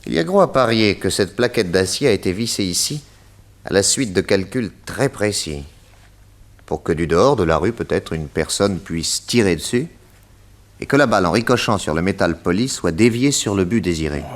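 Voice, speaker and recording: A shrewd gentleman detective in a radio mystery play. His voice sits fairly low and swings widely in pitch. He is courteous and confident, setting out each point with deliberate flourish. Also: lively intonation. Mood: assertive, explanatory. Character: shrewd, theatrical male detective, confident and probing.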